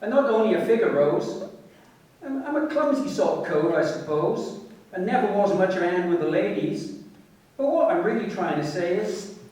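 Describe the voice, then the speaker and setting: An actor playing a humble, smitten working-class road sweeper, earnest and colloquial, in a radio play. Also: male voice, high-pitched, expressive, normal speed, darkish tone. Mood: earnest, hurt. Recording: very echoey, distant from the mic.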